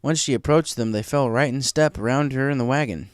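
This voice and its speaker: A man's voice: medium pitch, fairly quick, dry recording, clean studio sound. A man reading scripted story narration as the narrator of a fan tale.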